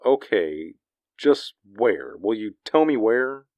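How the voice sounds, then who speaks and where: An actor playing a grumbling, evasive building caretaker in a 1940s radio drama. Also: male voice, on the low side, very animated, slow pace, dry recording, highs cut off. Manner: dismissive and grumbling.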